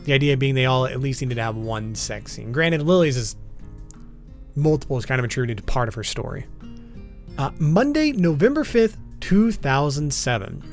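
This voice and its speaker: A man's voice: mid-range voice, expressive, normal speed. A man narrating informal scripted video commentary in a casual way, with wry asides. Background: background music.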